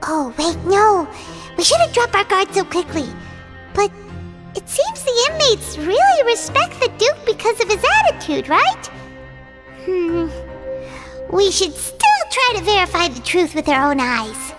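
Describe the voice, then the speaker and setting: A woman voicing a tiny, excitable female fairy companion in a video game, chirpy and quick to exclaim. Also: very high voice, full character voice. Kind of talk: game voice acting. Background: game music.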